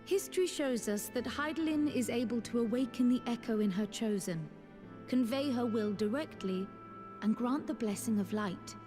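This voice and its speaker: A woman playing an earnest, courteous young noble scholar in a fantasy game, with formal, eloquent, deliberate phrasing. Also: a little high, mellow, lightly acted. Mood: thoughtful. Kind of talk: scripted fantasy game dialogue. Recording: long reverb, faint music.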